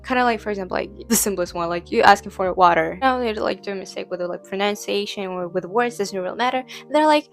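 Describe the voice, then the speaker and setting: A young woman talking casually to camera. English is not her first language, and her sentences wander with constant "like" fillers and restarts. Her voice sits at a middling pitch over faint background music. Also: quick pace, vocal fry, few pauses. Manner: rambling, heavy use of "like" fillers, frequent restarts. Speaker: vlogger.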